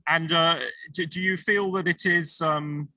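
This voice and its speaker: Bright-voiced courteous male moderator. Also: fairly high pitch, fairly even intonation, a little slow, no echo. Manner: courteous, rambling questions with restarts. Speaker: panel moderator. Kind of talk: moderating a panel discussion.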